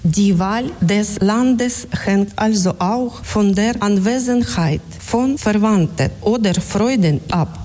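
Woman reporter, measured. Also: medium pitch, dark tone. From Ukraine. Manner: measured, read-out delivery. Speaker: radio reporter. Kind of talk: scripted report narration.

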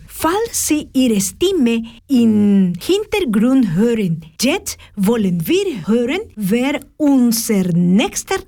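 A woman radio presenter with a high, lively voice that rises and falls a lot. She reads a formal introduction slowly and carefully. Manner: formal, measured. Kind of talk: scripted radio announcement.

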